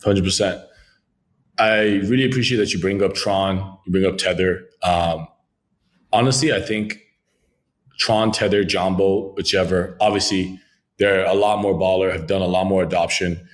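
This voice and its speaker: French-speaking founder from Congo, deep low voice, fluent and jargon-heavy, peppers talk with "right". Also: male voice, steady tone, moderate pace. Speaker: crypto company founder, guest. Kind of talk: podcast interview, business pitch.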